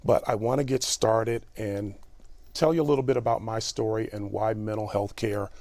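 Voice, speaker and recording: A man speaking as a conference presenter, slightly husky from a cold, opening his personal story in a warm, personal way. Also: fairly low pitch, steady tone, normal speed, no echo. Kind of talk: personal talk.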